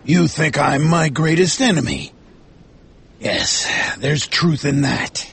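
A man voicing an obsessed, domineering whaling ship captain with a rough, strained voice and a slow, commanding manner, in an audiobook story. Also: a little high, fairly bright tone, exaggerated voice. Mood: brooding. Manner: slow, commanding, angry outbursts.

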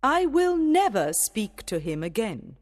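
Woman playing a proud, high-voiced fairy queen. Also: very animated, a little slow, darkish tone, full character voice. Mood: defiant, offended. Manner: imperious, dramatic. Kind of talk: dramatized storybook acting.

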